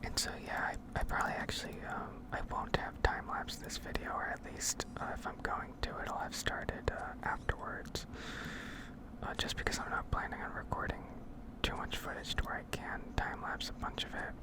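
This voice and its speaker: A woman speaking in a breathy whisper, giving unhurried, meandering ASMR commentary over gameplay. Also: low-quality recording. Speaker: gaming ASMR video creator.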